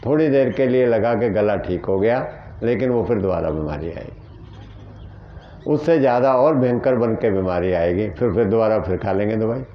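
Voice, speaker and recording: A man's voice: lowish voice, normal speed, highs cut off, slight background noise. A man teaching naturopathy, answering questions in an earnest, plain way and asking rhetorical questions.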